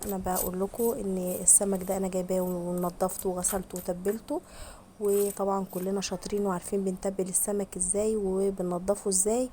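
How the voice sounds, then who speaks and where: Egyptian woman, hoarse from a cold, quick friendly cooking vlog narration, mellow tone, faint noise underneath. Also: mid-range voice. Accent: Egyptian Arabic. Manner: chatty, addresses viewers directly with frequent blessings. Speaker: home cooking vlogger. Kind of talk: casual voice-over vlog.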